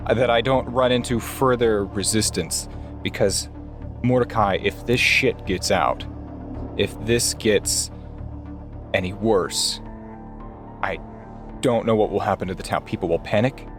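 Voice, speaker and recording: A man playing an earnest, weary private investigator in a tabletop game, speaking in a deliberate, solemn way over music. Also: fairly low pitch, natural voice. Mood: earnest, grave. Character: earnest, weary male private investigator pleading his case. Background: music behind the voice.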